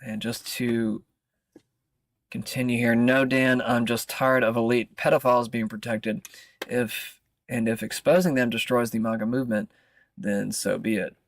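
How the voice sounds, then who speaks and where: Man, American accent, mid-pitched, fry in the voice, casual news streamer, halting read-aloud, informal asides, dry close sound. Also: steady tone, dry recording. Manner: informal, halting, often interrupts himself with asides. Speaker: streamer and commentator. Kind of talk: casual livestream news commentary, reading articles aloud.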